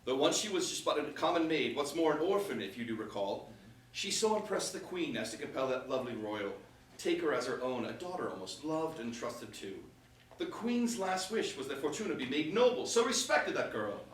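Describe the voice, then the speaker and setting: A man's voice: a little high, sing-song, brisk. A man playing a sensible, reasoning peacemaker scholar, admonishing and declaiming in a staged verse comedy reading. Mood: earnest. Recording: roomy echo, distant mic, faint noise.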